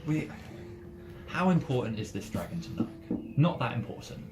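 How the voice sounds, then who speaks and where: A man's voice: medium pitch, big pitch swings, normal speed, very noisy. A man chatting casually as a player in a tabletop game. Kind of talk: casual chat. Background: faint ambient music.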